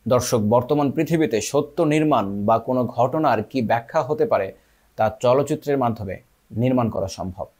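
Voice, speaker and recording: A man presents a film analysis in scripted narration. His voice sits at an ordinary middle pitch as he addresses his viewers. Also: normal speed, a little mellow.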